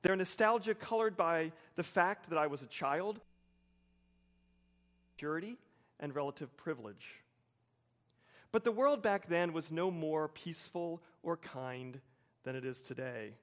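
High-voiced American man preaching, measured and emphatic. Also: fairly bright tone. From United States, suburban Wilmington. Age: 40s. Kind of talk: sermon with personal anecdotes.

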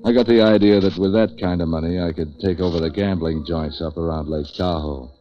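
An American man playing a cynical, street-wise waterfront hustler in deadpan 1940s radio noir style. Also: low voice, brisk, lightly acted. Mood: wry, world-weary. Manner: hard-boiled, deadpan wisecracking. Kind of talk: 1940s radio noir acting, first-person narration. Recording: dull, lo-fi 1940s radio recording.

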